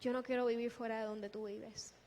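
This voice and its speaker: A woman preaching a sermon in a deliberate, emphatic way, posing rhetorical questions. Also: fairly high pitch, little pitch movement, a little mellow. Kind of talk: sermon with scripture exposition. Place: large echoing church hall. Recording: long reverberant hall sound.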